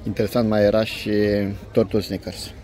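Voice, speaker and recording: A man doing a casual food review vlog in short, unhurried, appreciative phrases, recorded outdoors on a handheld phone. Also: on the low side, flat delivery, a little mellow, faint music behind. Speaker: food vlogger. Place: outdoor restaurant terrace by a lake.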